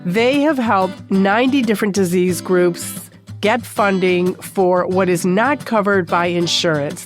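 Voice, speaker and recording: A woman hosting a podcast interview, sounding congested from a bad cold and asking earnest, concerned questions. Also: medium pitch. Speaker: podcast host.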